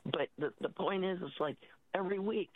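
An American woman calling a radio show over a tinny phone line, husky from a cold, chatting in a halting, rambling way. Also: fairly low pitch, no room sound. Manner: halting, searching for words. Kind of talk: casual, rambling phone-in chat. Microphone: telephone.

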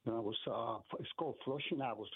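A man's voice: medium pitch, fast talker, husky. An older man from Brooklyn, born in Puerto Rico, telling a personal story casually as a caller on a phone line. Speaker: radio show caller.

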